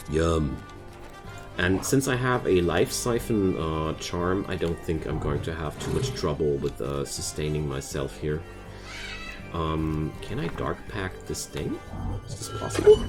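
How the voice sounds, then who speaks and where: Very deep-voiced German man commentating gameplay. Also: expressive, measured pace. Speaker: gaming video creator. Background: music.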